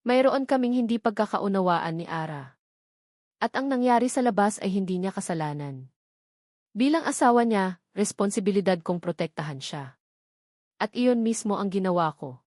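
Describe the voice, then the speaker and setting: A woman voicing a calm, protective young husband in an audio love story, firm and earnest. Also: mid-range voice, moderate pace, pauses often, dry recording, clean studio sound, lightly acted.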